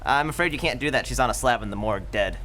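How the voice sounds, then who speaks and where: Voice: mid-range voice, animated, fast, fairly bright tone, slight background noise, natural voice. An actor in a community radio play voicing an offhand, chuckling police officer on the phone. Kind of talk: community theater radio drama.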